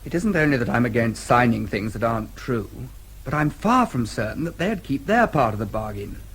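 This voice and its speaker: An actor with a British accent playing a protective, politely defiant brother in a radio drama, husky, proper and firm. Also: male voice, medium pitch, animated, fairly quick, a bit bright, rough recording. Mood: concerned.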